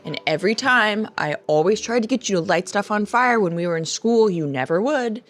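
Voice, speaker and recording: A woman's voice: mid-range voice, lively intonation, a little fast. A woman playing a tabletop roleplaying game, improvising casually in everyday conversational speech. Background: background music.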